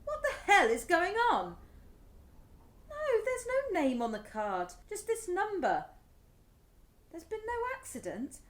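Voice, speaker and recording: A British actress playing an anxious, fretful middle-aged mother who is quick to anger, in a radio play. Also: extremely high pitch, huge pitch swings, heavily acted. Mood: agitated, indignant. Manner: fretful, emotional, swinging from pleading to exasperated.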